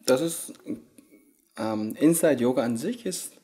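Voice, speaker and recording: A man in his thirties, Korean-born, who speaks with many pauses and fillers as a yoga teacher answering interview questions, recorded indoors on a handheld recorder. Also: mid-range voice, expressive, warm tone. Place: hotel lounge at a conference, indoors. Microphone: handheld recorder held by the interviewer.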